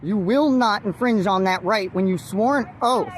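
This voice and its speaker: A woman's voice: medium pitch, normal speed. A woman auditor filming police at a roadside traffic stop on a handheld phone, lecturing officers about her rights in a defiant voice. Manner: defiant, lecturing, with forced laughs. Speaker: first amendment auditor. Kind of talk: confrontational street recording. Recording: faint outdoor traffic noise.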